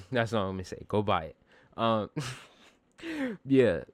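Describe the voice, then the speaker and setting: A man with a bassy voice giving a casual, slangy music production tutorial, informal and self-deprecating, with some swearing. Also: huge pitch swings, takes it slowly, no room sound.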